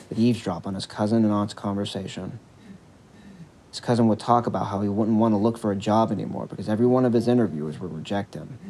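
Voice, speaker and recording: A man narrating a scripted character backstory as an audio drama, in an expressive, dramatic storytelling manner. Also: fairly low pitch, a little hiss or hum.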